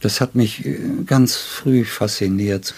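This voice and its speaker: Older male writer from northern Germany, interviewed. Rough husky tone, lower pitch, reflective storyteller with ornate phrasing. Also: slow pace, a little mellow. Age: 60s or older. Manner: ornate wording with frequent "ne?" tags. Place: indoors at his home.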